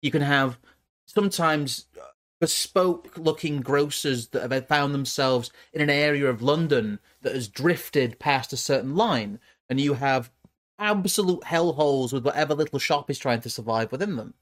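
A man's voice: mid-range voice, normal speed. A man hosting a livestream, musing about food and often stumbling and repeating words. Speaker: streamer host. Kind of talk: casual livestream talk.

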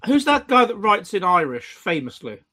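A man hosting an online pub chat show, reading out listener questions with halting restarts and dry jokes. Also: high voice, sing-song, bright, forceful tone. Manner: wry, joking.

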